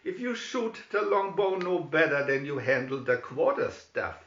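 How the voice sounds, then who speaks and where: A man's voice: on the high side, a little slow, a bit bright, roomy sound, lo-fi sound. A man voicing a tall, cocky young fighter in a boastful storybook manner. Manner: boastful, retorting.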